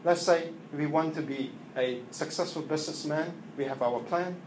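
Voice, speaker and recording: A man whose first language is Chinese preaches in English, his voice sitting a little high. He speaks haltingly and earnestly, full of fillers and restarts. The room is echoey, with a faint hum behind. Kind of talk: sermon with opening prayer.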